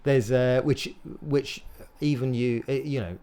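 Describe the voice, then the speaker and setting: A male podcast host with a mid-range voice keeps nudging the chat along with yeahs and mms. His questions come out halting, full of restarts and trailing asides. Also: medium pitch, mellow. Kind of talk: casual interview chat.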